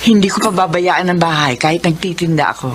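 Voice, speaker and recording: A woman playing a kindly older mother figure in a radio drama, humble, soft-spoken and grateful. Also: lowish voice, brisk, slight background noise, natural voice. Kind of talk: classic radio drama acting. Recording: radio drama with music beneath.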